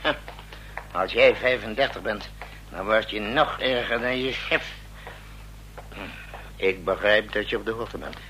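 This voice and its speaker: An actor playing an authoritative, gruff president in a radio drama, speaking curtly. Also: male voice, lowish voice, a little slow, pauses often, bright, forceful tone, low-quality recording. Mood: pensive, curt. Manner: curt, authoritative. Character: authoritative, gruff male president, roused from sleep. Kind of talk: Dutch radio drama acting. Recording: faint noise and hum, old radio recording.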